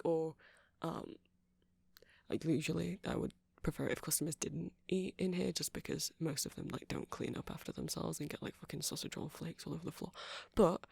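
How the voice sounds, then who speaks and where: An awkward young male vampire shopkeeper, acted by a woman, lowish and creaky, speaking very close in a dry space. He stammers, hedges and rambles apologetically, flustered and gentle in an ASMR audio drama. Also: no echo, heavily acted.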